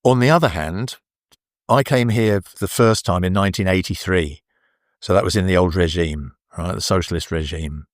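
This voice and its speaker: An older man with an English accent, talking as an interview guest in a relaxed, halting way full of restarts. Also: deep voice, dry recording, studio quality. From England. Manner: hesitant, many restarts and fillers. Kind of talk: casual interview.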